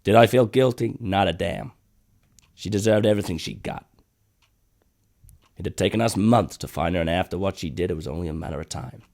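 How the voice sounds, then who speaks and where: A man voicing a cynical, cold, hard-boiled cop in a brooding, terse noir story narration, with a low, gravelly voice. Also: fairly quick, long pauses, darkish tone. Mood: cynical, remorseless. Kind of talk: noir first-person scripted narration. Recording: music bed behind the voice.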